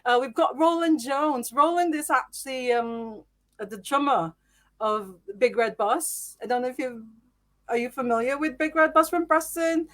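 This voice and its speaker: A woman with a high voice hosts a live podcast. She sounds nervous and giggly and fills her sentences with "um." She talks over a video call. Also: normal speed. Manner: nervous, lots of ums, giggly. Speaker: podcast host. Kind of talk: casual live podcast hosting.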